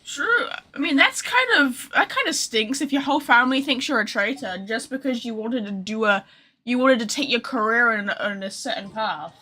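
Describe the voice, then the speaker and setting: A woman's voice: fairly high pitch, lively intonation, moderate pace, bright, forceful tone. A chatty woman giving casual, joking, sweary live commentary as she streams a game.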